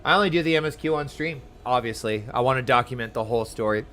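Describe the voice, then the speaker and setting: A man's voice: medium pitch, fast, fairly bright tone, slight background noise. A man chatting casually while live streaming a game, giving loose commentary as he plays. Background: game music.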